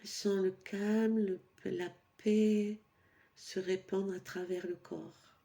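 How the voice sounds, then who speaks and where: A woman leading a guided yoga nidra meditation for sleep as an instructor, with a calm, soothing, instructional delivery and pauses. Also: medium pitch, gravelly.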